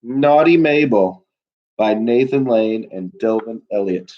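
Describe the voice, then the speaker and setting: A man's voice: medium pitch, expressive, slow pace. A man with a stuffy nose, a teacher chatting casually from home on a live class stream, rambling with plenty of fillers. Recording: dull lo-fi recording.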